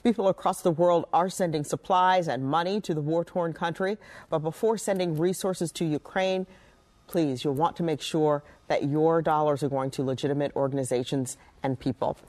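Woman, local TV news anchor, steady scripted read. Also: low voice, moderate pace.

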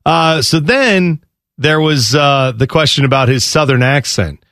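A man's voice: a little high, lively intonation, a little slow, fairly bright tone, no echo, studio quality. A man co-hosting a sports radio show, chatting and bantering casually.

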